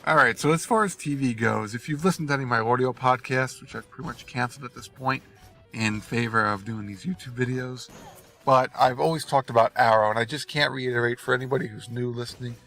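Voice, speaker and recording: A congested, nasal man talks off the cuff from behind the wheel of his car, wandering from point to point and apologizing for his slips. His voice has a gravelly, rough edge. Faint music plays underneath. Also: medium pitch, brisk, bright, forceful tone. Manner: rambling, apologetic, unprepared. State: congested from a cold. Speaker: gaming video host. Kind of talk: casual rambling commentary over gameplay. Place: in a car while driving.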